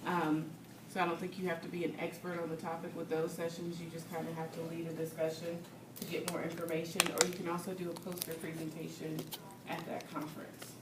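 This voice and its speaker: Young woman chairing a meeting, calm and informative, far off in a roomy classroom. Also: low voice, fairly quick. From North Carolina. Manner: steady, informative, with filler ums. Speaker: student organization president. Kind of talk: meeting announcements. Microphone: far camera. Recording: echoey classroom, distant mic, background noise.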